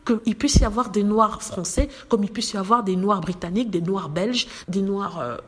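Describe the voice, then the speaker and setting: A woman's voice: medium pitch, normal speed. A woman vlogger talking straight to her viewers in casual commentary, indignant and emphatic.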